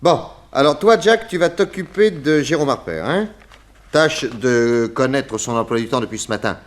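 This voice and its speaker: An actor playing a brisk, courteous and wry detective boss in a 1960s French radio drama, switching between probing questions and orders. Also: medium pitch, animated, lightly acted. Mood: brisk, commanding.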